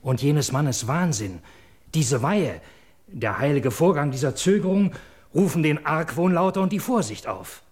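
An actor playing a wary, suspicious royal attendant, speaking formal verse earnestly in a husky voice in a classical radio drama. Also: male voice, a little high, lively intonation, measured pace. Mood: troubled suspicion.

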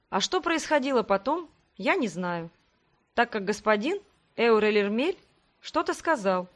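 A woman narrating a first-person fiction audiobook in an expressive, scripted reading style. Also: medium pitch.

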